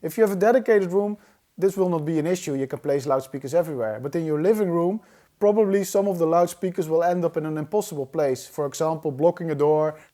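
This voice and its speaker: A man giving an explanatory tutorial in a measured, didactic way, as an instructor. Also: a little high, dark tone. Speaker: audio-video instructor.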